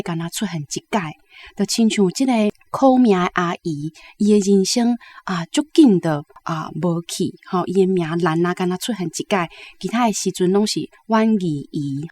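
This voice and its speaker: A woman's voice: lowish voice, a little mellow. A woman with a Taiwanese accent giving conversational literary commentary, explaining with frequent little confirming interjections.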